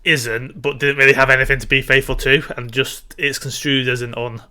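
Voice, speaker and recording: A man's voice: mid-range voice, little pitch movement, fairly quick, sharp tone. A man co-hosting a casual film discussion, explaining his opinions as he goes. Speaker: podcast co-host.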